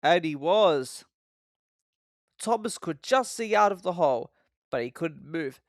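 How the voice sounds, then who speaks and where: High-pitched, animated male storyteller. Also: measured pace, no room sound, studio quality. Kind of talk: scripted children's story narration.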